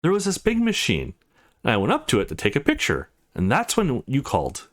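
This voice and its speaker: A man's voice: medium pitch, wildly swooping pitch, fairly quick. Guy doing a serious teen boy in a visual novel, earnest n defensive, voice moves around a lot. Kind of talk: visual novel character reading.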